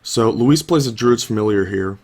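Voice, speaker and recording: A young man with a fairly low voice, a bit hoarse from a sore throat he is coming down with. He talks through a card game match in a loose, casual way, thinking aloud and leaning on fillers like "like" and "you know". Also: moderate pace, a little mellow. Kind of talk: casual video commentary.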